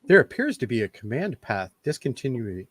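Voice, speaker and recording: A man acting an earnest, precise android officer in a fan radio play, with formal, measured wording. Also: medium pitch, sing-song, breathy edge, no echo. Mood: earnest, pondering. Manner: formal, measured, wordy phrasing.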